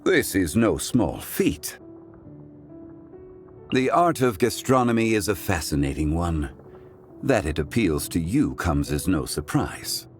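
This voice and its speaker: A man voicing an ancient, dignified guardian in a video game, formal, measured and contemplative in manner. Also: low voice, sing-song, soft background music, heavily acted. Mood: warm, courteous. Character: ancient, dignified male guardian of contracts, calm and wistful. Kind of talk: scripted video game character voicelines.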